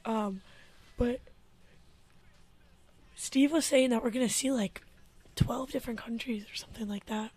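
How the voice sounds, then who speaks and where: A young woman co-hosts a college radio show with a voice pitched a touch high. She talks in a relaxed, offhand and playful way, tossing out quick remarks in a radio studio. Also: slightly rough, dry recording, low-quality recording. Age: young adult. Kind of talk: casual chat.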